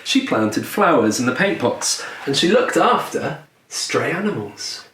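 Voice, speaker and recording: A man who is a children's author reading his picture book aloud in a gentle storytelling manner with deliberate dramatic pauses. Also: on the high side, sing-song. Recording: roomy echo, distant mic, faint noise.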